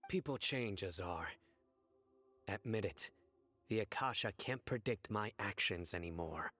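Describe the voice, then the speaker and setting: Stoic righteous judge, lowish voice, grave, deliberate, commanding, dramatic anime game acting. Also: male voice, expressive, sharp tone, soft background music, full character voice. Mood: cold, accusing. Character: stern, righteous, stoic male judge and enforcer.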